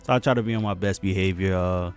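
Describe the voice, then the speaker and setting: Casual male game streamer, low register, light music behind. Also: fast. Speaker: gaming channel host. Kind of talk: casual talk introducing a game playthrough.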